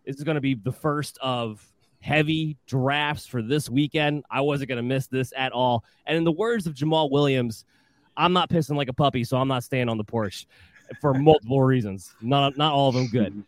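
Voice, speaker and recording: A man hosting a live fantasy football podcast, energetic and upbeat, with a slightly hoarse voice. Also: mid-range voice, moderate pace, no echo.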